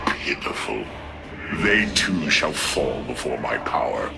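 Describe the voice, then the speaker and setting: An actor playing an arrogant, cruel alien warlord in a video game, gravelly, haughty and sardonic in delivery. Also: male voice, extremely low pitch, very animated, slow pace, exaggerated voice. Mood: scornful, menacing. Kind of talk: theatrical video game acting. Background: loud music.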